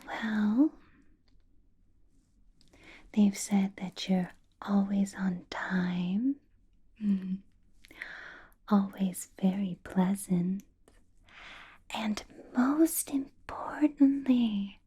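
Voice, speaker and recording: A woman playing a possessive, seductive vampire boss in an ASMR roleplay, politely menacing in a soft whisper with deliberate, coaxing phrasing. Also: mid-range voice, breathy edge, heavily acted. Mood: coy, hungry. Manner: slow, deliberate, coaxing, with lingering pauses. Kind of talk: ASMR roleplay, personal attention.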